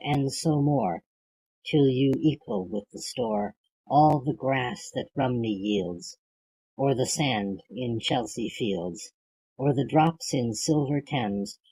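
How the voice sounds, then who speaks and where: A husky, creaky voice of unclear gender reads old verse aloud for an audiobook. The pace is very slow and measured, with a declamatory delivery that gives each phrase its own space. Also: medium pitch, mellow, poor audio. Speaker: audiobook reader. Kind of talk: reading classic poetry aloud.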